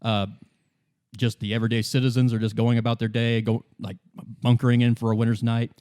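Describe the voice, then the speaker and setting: A man narrating an improvised tabletop game as game master in a loose, halting, conversational way. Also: mid-range voice, level tone, darkish tone, clean tone, dry recording, clean studio sound. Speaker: game master, podcast host.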